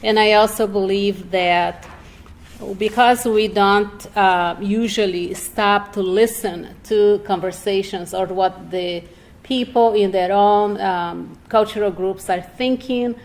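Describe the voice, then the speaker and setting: A woman from Brazil, speaking in a measured, earnest way as a reflective panelist at an indoor public event. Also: mid-range voice, fairly even intonation. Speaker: panel speaker, state health agency worker. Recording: clearly noisy room ambience.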